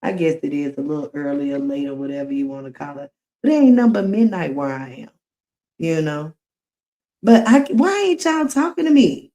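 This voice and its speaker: A woman's voice: a little high, very animated, vocal fry, mellow. A woman livestreaming, giving casual commentary and talking straight to her viewers. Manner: slow, drawn-out talk, addressing viewers directly.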